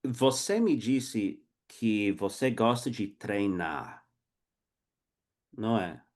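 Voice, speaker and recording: Male host-teacher, lower register, patient simple questions. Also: lively intonation, a little mellow. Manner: slow, simple questions for a learner. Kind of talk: casual chat and oral interview.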